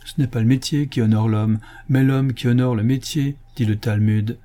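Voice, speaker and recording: A man's voice: medium pitch, fairly even intonation, moderate pace, mellow, close to an everyday voice. A man voicing a humble, thrifty father in a storybook reading, plaintive, deferential and earnest. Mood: whining, resigned. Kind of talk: audiobook character acting.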